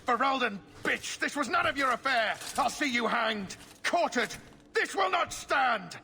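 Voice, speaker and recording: An outraged guard captain in a fantasy game. He shouts indignantly in a strained, very high and piercingly sharp voice, swooping widely. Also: lively intonation, measured pace, creaky, exaggerated voice. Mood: furious. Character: furious, disgraced male guard captain. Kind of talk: dramatic game cutscene acting.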